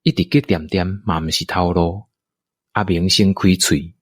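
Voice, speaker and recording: A man's voice: low voice, steady tone, moderate pace, no echo, studio quality. A man with a Taiwanese accent narrating a scripted radio drama as a storyteller.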